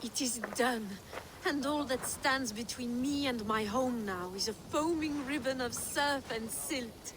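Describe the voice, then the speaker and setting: A woman's voice: high voice, a little slow, noisy background. A woman playing a romantic, seductive French noblewoman in a video game, speaking with a French accent in a wistful, poetic way. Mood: wistful, inviting. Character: yearning, seductive French noblewoman in Viking-age England. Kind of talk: dramatic video game acting.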